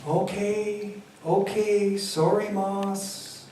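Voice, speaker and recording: A man's voice: high-pitched, little pitch movement, drawn-out words, warm tone, slight background noise, pitched above the performer's own voice. A tired, cowed woman in her fifties, as a male reader voices her, giving in with weary apologies. Her lines come through a far mic in a very echoey room.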